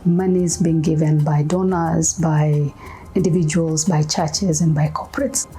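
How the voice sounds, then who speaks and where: A woman's voice: sits low, steady tone, mellow. A woman from Kenya speaking earnestly in a documentary interview about mission work. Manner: earnest, measured, appealing. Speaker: mission organization leader.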